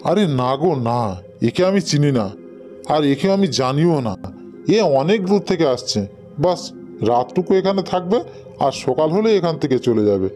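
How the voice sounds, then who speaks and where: Casting: man as older villager, highish pitch, theatrical emphatic cartoon delivery, clear music underneath. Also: big pitch swings, measured pace, darkish tone. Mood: explaining. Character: older village man; greedy, stingy landlord. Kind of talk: cartoon story voice acting.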